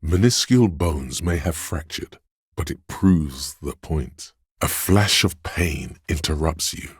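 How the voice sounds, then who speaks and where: Super deep gravelly-husky male voice of pain for a video game, brooding, poetic, goading, slow menace. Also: lively intonation, dry recording, clean studio sound, full character voice. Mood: grim, deliberate. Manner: brooding, deliberate, goading, theatrical. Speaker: video game narrator voicing a character's inner thought. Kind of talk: dramatic second-person game narration.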